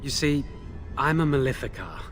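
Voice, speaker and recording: A man's voice: mid-range voice, lively intonation, moderate pace, fairly bright tone, soft background music, lightly acted. An actor playing a nervous, remorseful young male mage held in a dungeon, in a video game. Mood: confessing. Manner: anxious, remorseful, pleading.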